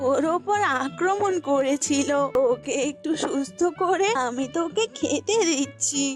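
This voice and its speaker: A doting old village granny from a cartoon fable. She talks slowly and tenderly in a high voice, with music underneath. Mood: tender, caring. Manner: tender, unhurried. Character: kind, doting old village woman who keeps animals. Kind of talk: cartoon voice acting in a children's fable. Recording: music bed behind the voice.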